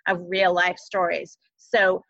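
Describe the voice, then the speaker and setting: A woman's voice: lowish voice, flat delivery, slow, vocal fry, a bit bright, no echo. A woman hosting an interview in an enthusiastic, gushing way, laughing easily. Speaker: podcast host. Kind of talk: casual interview chat. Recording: dull, dry lo-fi sound.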